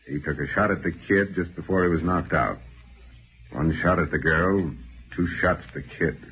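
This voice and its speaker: Deep-voiced sardonic American tough detective. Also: male voice, moderate pace. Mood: cool, challenging. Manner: blunt, defiant, wisecracking. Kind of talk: 1940s American radio drama acting. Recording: dull, noisy old radio recording.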